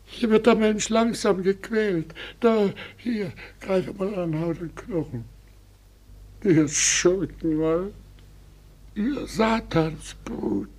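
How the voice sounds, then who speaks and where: Husky, broken old weaver. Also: male voice, high voice, darkish tone. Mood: anguished outcry. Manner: halting, emotional, murmurs and cries out. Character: wretched, worn-out old weaver, bitter and aggrieved. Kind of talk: German radio drama acting.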